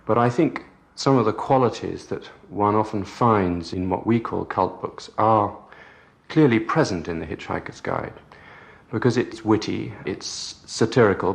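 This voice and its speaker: A British man, a book publisher giving a measured, articulate and formal interview answer. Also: on the low side, vocal fry.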